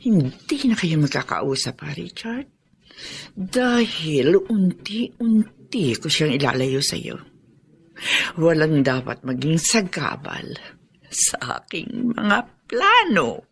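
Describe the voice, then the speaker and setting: A woman's voice: sits low, big pitch swings, slow pace, vocal fry, slightly rough, heavily acted. An actress playing a domineering, scheming middle-aged stepmother with a Filipino accent in a radio drama, imperious and falsely sweet. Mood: sly, scheming. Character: scheming, domineering villainous stepmother and lady of the house.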